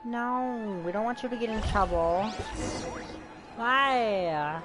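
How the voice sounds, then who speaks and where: A woman's voice: on the high side, slow pace. A woman streamer giving casual gameplay commentary in a chatty way, talking back to the characters. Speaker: game streamer. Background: game music.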